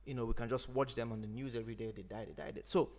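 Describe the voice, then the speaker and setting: A man from Nigeria, an Igbo speaker, talking in a thoughtful, unhurried way as a podcast host. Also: medium pitch, fairly even intonation, darkish tone. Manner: thoughtful, unhurried, frequent "you know". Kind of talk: reflective conversation.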